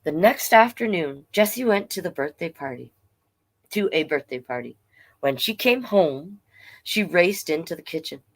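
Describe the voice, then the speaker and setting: A woman's voice: lowish voice, animated, normal speed. A woman storyteller reading a children's book aloud, with informal, playful asides. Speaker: drag king storyteller reading children's books.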